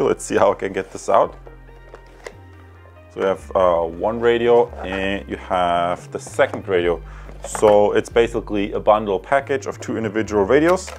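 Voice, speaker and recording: A man in his thirties with a German accent, giving a casual, halting product review and unboxing to viewers. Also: lowish voice. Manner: hesitant, halting, addresses viewers as guys.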